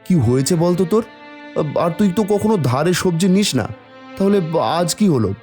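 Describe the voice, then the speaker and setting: A man playing a kind, humble village vegetable seller and father in an animated story, speaking in an earnest, pleading way. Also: sits high, brisk, soft background music. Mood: friendly concern. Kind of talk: animated Bengali moral story dialogue.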